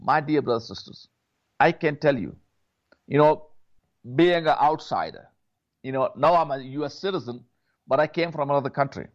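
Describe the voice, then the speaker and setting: A man's voice: fairly high pitch, expressive, moderate pace, long pauses. A man from Pakistan, a pastor, making an earnest prayer appeal, often repeating words and saying "you know". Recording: dull lo-fi audio.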